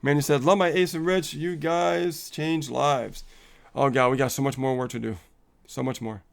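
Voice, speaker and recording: Gravelly, smooth-voiced motivational man. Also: medium pitch, steady tone, normal speed. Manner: upbeat, motivational, laughs often, reads viewer comments aloud. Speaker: co-host of a live coaching stream. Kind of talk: casual live-stream chat.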